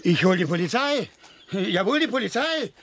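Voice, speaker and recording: An actor playing an indignant, suspicious homeowner on an alien planet in a 1970s German radio play, blustering and pedantic. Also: male voice, high-pitched, expressive, moderate pace, fairly bright tone, slight background noise. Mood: outraged.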